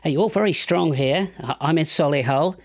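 A man's voice: on the high side, normal speed, pitched above the performer's own voice, natural voice. A man voicing a polite, eager newcomer calling into a radio net in a comedy sketch, formal and careful. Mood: polite, hopeful. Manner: formal, careful call-sign delivery.

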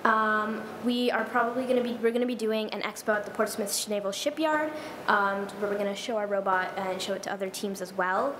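A teenage girl, her team's software lead, presenting to a school board in an upbeat way, listing points with some fillers. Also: medium pitch, a little fast. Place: school library meeting room, indoors. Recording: echoey room with clear background noise.

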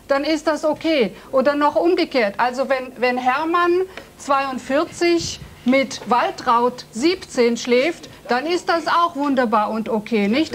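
A woman politician on a debate panel who argues forcefully, driving her points home with examples. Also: sits high, a little hiss or hum. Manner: forceful, argumentative, lists examples. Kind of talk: heated TV debate. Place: TV studio audience seating.

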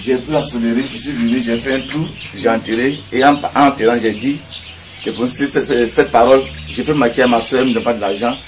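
A man interpreting in short, halting phrases that often repeat. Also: mid-range voice, steady tone. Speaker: interpreter relaying another person's words. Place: open-sided yard outdoors. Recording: noisy outdoor phone video with birdsong.

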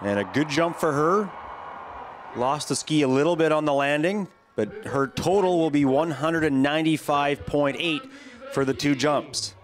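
A man giving measured play-by-play commentary on a ski jumping competition, speaking as a voice-over. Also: fairly high pitch, a little hiss or hum. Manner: calm, measured play-by-play, lifting on big jumps. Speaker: sports commentator.

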